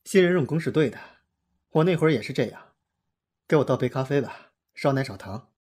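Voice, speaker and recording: A man's voice: medium pitch, expressive, fairly quick, darkish tone, close to an everyday voice. An actor in a Mandarin audio drama playing a suave, confident male pop star who is condescendingly kind to a newcomer. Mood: relaxed.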